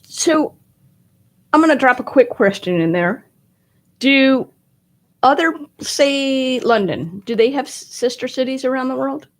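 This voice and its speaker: A woman's voice: high-pitched, expressive, unhurried. A woman co-hosting a local radio talk show, introducing guests and asking them questions in a friendly way. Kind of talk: casual radio chat. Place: radio studio.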